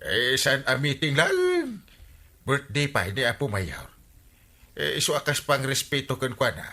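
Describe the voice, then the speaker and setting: An actor playing a bossy, excitable village captain in a comedy radio drama, scolding and insisting with an Ilocano accent. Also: male voice, medium pitch, moderate pace. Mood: insistent, bossy.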